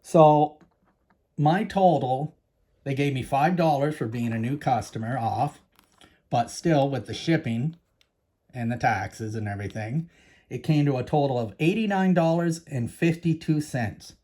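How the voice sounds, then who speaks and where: Canadian man, chatty coin vlogger, enthusiastic, mid-pitched voice. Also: unhurried, dark tone. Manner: chatty, enthusiastic, addresses viewers as guys. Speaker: coin collecting channel host. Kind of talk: casual show-and-tell vlog.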